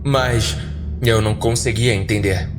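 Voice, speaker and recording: A man with a Brazilian accent narrating a scripted first-person horror story in a dramatic, deliberate way. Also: fairly low pitch, fairly even intonation. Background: background music.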